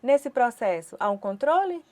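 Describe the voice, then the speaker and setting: A Brazilian Portuguese woman with a fairly high voice interprets a course lecture aloud. She speaks in careful, measured short phrases and pauses between them, like a teacher's words voiced on the fly. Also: very animated, a little mellow. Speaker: interpreter voicing a sign-language lecture.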